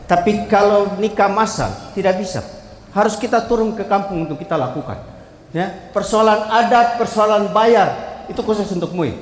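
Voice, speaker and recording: A man's voice: high voice, moderate pace. A man from the civil registry gives an emphatic formal presentation to a jury panel. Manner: emphatic, calls out to the audience, moves slide to slide. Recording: echoey hall, faint ambience.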